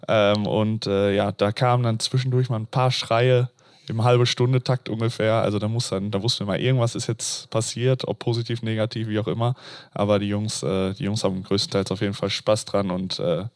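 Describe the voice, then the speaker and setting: A man from an esports team's staff, speaking haltingly and repeating words in a casual interview. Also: on the low side, fairly even intonation, dry recording, clean studio sound.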